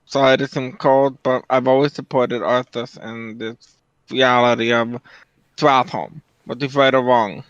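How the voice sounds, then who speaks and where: A man's voice: mid-range voice, fairly even intonation, a little slow, fairly bright tone, clear, resonant tone, no room sound, highs cut off. A man giving casual, opinionated commentary as a guest on a stream.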